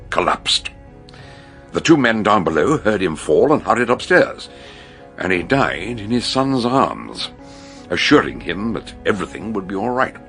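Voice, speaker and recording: Older male detective in radio mystery, gravelly, genial but grave, swoopy deliberate explaining. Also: sits low, a bit bright, exaggerated voice. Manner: hesitant openings, deliberate explanatory delivery. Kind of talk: radio mystery drama acting.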